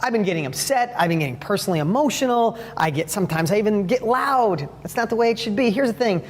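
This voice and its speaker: A middle-aged man with a high-pitched voice, giving a motivational talk and telling stories with enthusiasm and acted-out quotes. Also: animated, fast, big-room sound, a little hiss or hum. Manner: enthusiastic storyteller, acts out quotes. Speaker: speaker on sales and parenting.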